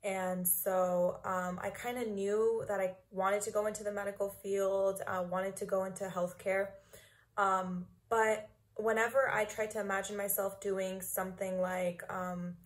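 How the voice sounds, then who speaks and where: Casting: twenties woman, dental student, relaxed webcam chat, lots of fillers. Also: mid-range voice, measured pace. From Los Angeles, California. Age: 20s. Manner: chatty, many ums and likes. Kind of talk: interview answers to camera. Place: small room indoors.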